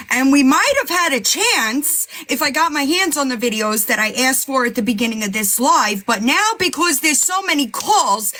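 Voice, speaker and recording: A woman's voice: on the high side, fairly bright tone. Exasperated woman pleading. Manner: pleading, exasperated, repeats herself. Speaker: livestream host. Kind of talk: heated livestream chat.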